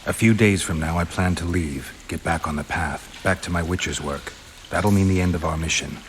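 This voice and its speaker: An actor playing a stoic, world-weary monster hunter in a video game, terse and dryly understated. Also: male voice, low voice, normal speed, a little hiss or hum, natural voice. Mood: resolute. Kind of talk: video game cutscene acting. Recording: rain and ambience behind the voice.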